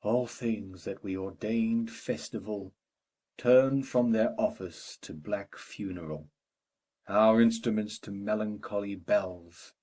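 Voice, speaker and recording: A man's voice: on the low side, takes it slowly, mellow. A man playing a grieving older nobleman, passionate and solemn, in declamatory Shakespeare audiobook style. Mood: sombre mourning. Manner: declamatory, weighty phrasing. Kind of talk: dramatic Shakespeare audiobook reading.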